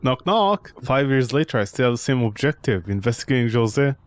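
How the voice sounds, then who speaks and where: A man's voice: medium pitch, animated, brisk. A man giving casual, joking, sarcastic commentary while he plays through a horror game. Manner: sarcastic, jokey.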